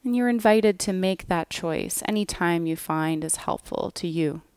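A woman guiding a yoga nidra relaxation in a gentle, measured way, with soft phrase endings. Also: lowish voice, clear, resonant tone, dry recording, clean studio sound. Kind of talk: guided relaxation meditation, scripted.

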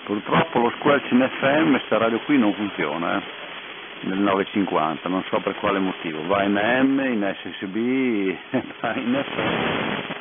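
A man with a low voice chats casually over CB radio. His voice comes through a thin, narrow band under heavy static. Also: unhurried, vocal fry. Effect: CB radio transmission. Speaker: CB radio operator. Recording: tinny CB radio, loud static noise.

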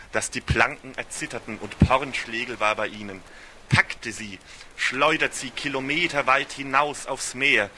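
Very bright-voiced man narrating a story. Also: fairly low pitch. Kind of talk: scripted literary radio-play narration.

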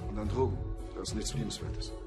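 An actor playing a defensive, smooth-talking red-light club boss in a TV crime comedy. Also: male voice, fairly low pitch, fairly even intonation, fast, music in the background, close to an everyday voice.

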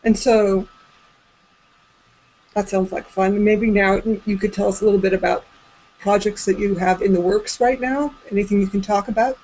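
A woman's voice: mid-range voice, steady tone, normal speed, darkish tone, poor audio. A woman in her forties casually interviewing a guest over a video call from a room at home. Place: small indoor room at home. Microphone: computer mic on a video call.

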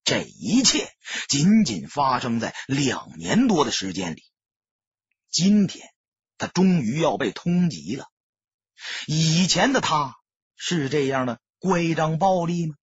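A man narrating an audiobook in a dramatic, emphatic storyteller's style, with a husky, rough-edged voice. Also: on the high side, takes it slowly, dry recording. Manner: expressive, emphatic storytelling delivery. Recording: dull lo-fi audio, dry sound.

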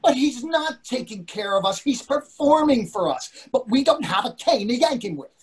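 A man's voice: very high voice, big pitch swings, moderate pace, slightly rough, heavily acted. A man in his sixties playing an irritable, sarcastic husband in a readers theatre comedy over a video call. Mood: stubborn. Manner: sarcastic, exasperated. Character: irritable, indignant older husband dining out.

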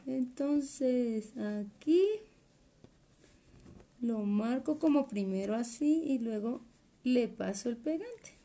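A woman's voice: on the high side, slow, long pauses, dark tone, a little hiss or hum. A woman, chatting through a live sewing class while she works at a table. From Colombia. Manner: chatty, explains while working with her hands. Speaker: sewing instructor. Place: indoor workroom at a cutting table.

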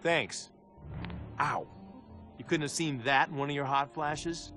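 An actor plays a cocky, flippant fighter in a video game, talking casually and with sarcasm. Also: male voice, on the high side, fairly even intonation, unhurried, over music, natural voice. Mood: sarcastic. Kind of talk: video game cutscene acting.

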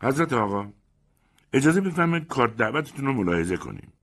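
A man voicing a pompous, fawning male groomsman who talks in a shrill, blustering way. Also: very animated, a bit bright. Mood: indignant.

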